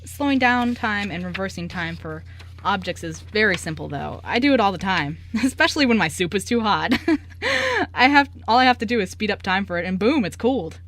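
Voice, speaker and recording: A woman's voice: medium pitch, sing-song, a little fast, a bit bright. A woman playing a breezy young male journalist with secret magic in an amateur radio play, talking in a casual, joking, slangy way. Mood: confiding, casual.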